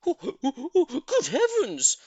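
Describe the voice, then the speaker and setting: Frantic, high-pitched excitable monkey. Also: male voice, measured pace, dry recording, muffled recording, full character voice. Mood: amazed delight. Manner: urgent, stammering exclamations. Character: frantic excitable African monkey father in a cage. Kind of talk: children's audiobook character voice.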